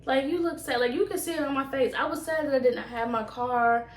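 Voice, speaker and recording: A woman's voice: sits high, a little fast. A woman chatting casually to camera in storytime style, rambling and slangy, with emphatic repeats. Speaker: vlogger. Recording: roomy echo, distant microphone.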